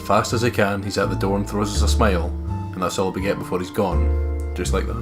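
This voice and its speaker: A man with a low voice reads visual novel narration aloud and adds casual commentary. He drops in sweary, joking asides while game music plays clearly behind him. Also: steady tone, brisk. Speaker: let's play co-host.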